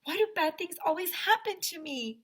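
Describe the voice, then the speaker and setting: A woman's voice: very high voice, expressive, normal speed, a bit bright, voice pushed up. A woman voicing sad, grief-stricken schoolchildren in a storybook, whimpering and drawing out the words. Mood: upset. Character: sobbing, grief-stricken schoolchildren mourning a dog. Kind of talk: storybook read-aloud character voices.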